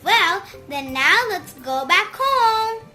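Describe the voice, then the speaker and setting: A female host presenting a children's puppet show in simple, deliberate sentences addressed to young viewers. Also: extremely high pitch, sing-song, pushed and bright, faint music behind.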